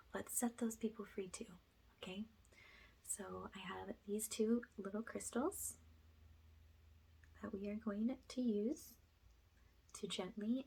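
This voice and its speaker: A woman leading an ASMR guided spiritual healing session, speaking softly and breathily, often in a whisper, with slow, gentle, soothing guidance. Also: mid-range voice, slightly rough, low-quality recording. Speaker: ASMR energy healer, host.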